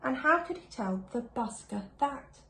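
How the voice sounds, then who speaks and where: Echoey, high-voiced woman reading a children's story. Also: a little slow. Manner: sing-song rhyming delivery, animated storytelling. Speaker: primary school teacher reading aloud. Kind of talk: expressive children's picture-book reading. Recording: echoey room with faint background noise.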